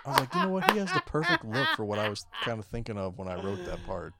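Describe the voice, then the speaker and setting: A man's voice: fairly low pitch, wildly swooping pitch, fast talker, creaky, over a noisy crowd. A man co-hosting a podcast in casual, profane, self-deprecating chat. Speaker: podcast co-host.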